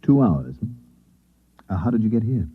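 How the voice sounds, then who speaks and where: Wry newspaper guy, lowish swingy voice, dry wisecracks, old muffled radio show. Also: sing-song, moderate pace, breathy edge. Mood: probing. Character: wry, hard-drinking newspaper reporter, man. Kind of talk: old-time radio acting with first-person narration. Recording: dull old radio recording.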